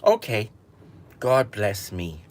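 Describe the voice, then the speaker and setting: A meek young shepherd answers mildly and politely, always agreeable and never raising his voice, as a man voices him while telling the story. The voice is gentle and slightly drawn out. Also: lowish voice, wildly swooping pitch, takes it slowly, exaggerated voice. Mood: mild, obliging. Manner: mild, agreeable.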